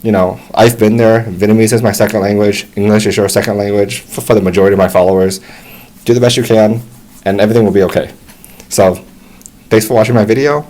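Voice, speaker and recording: A young American man giving relaxed, encouraging advice to English learners, talking casually with frequent restarts. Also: fairly low pitch, quick pace. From United States. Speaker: English tutor. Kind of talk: casual talk to camera.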